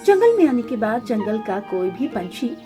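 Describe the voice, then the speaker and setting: Casting: female storyteller for kids' cartoon, high register, scripted narration. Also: sing-song, moderate pace, music in the background.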